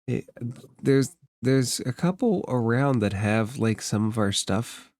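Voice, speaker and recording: A man's voice: medium pitch, lively intonation, slow, a little mellow. A man playing a small, friendly space hamster in a tabletop game, talking in a casual, hesitant, plain-spoken way. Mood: casual, hopeful.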